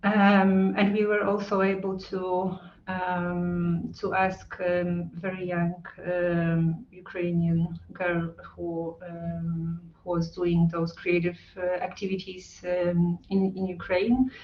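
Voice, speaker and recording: A woman's voice: fairly low pitch, level tone. A woman on a video call, speaking as a with many ums and uhs. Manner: halting, with frequent ums and uhs. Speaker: hub representative on a video call. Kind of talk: hesitant online talk with many fillers. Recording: roomy, distant, muffled call audio.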